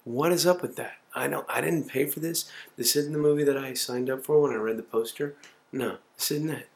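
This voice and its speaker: A man's voice: medium pitch, a little fast, vocal fry. A man in his forties rambling in a profane, self-mocking way to his home camcorder. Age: 40s. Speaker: video diarist. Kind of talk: casual self-recorded monologue.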